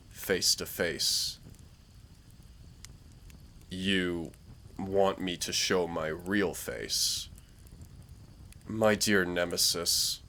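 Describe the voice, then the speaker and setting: A man playing a smug, taunting dark warlord villain in a roleplay audio drama, speaking in a theatrical, dryly mocking way. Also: deep voice, slight background noise, exaggerated voice. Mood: persuasive, calm. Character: dark warlord villain, younger-looking, sardonic, smug, taunting Lord of Nightmares. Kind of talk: roleplay audio drama, intimate scripted monologue.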